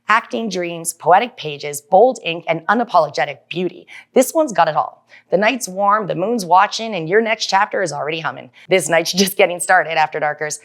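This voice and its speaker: A woman hosting a show, delivering a scripted monologue in a playful, theatrical hype style. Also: on the low side. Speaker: show host.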